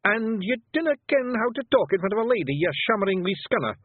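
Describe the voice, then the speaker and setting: A man voicing a small, rowdy male pixie chief with a Scottish accent and a gruff, boisterous manner. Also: high-pitched, sing-song, fast, no room sound, voice pushed up. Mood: scolding. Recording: tinny phone-like sound.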